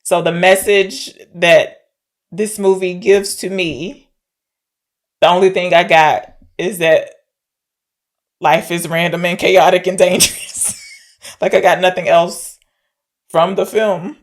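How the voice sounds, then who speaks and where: A woman's voice: lowish voice, steady tone, takes it slowly, long pauses. A woman co-hosting a podcast, casually discussing films in a blunt, plain-spoken way and acting out quotes as she retells things. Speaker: podcast co-host.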